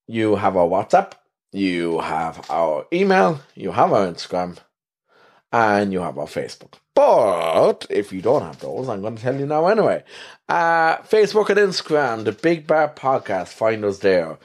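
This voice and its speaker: A man's voice: on the low side, big pitch swings, a little slow. An Irish man hosting a podcast, chatting casually in a rambling, self-deprecating way with a soothing voice. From Ireland. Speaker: podcast host. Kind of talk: casual solo podcast chat.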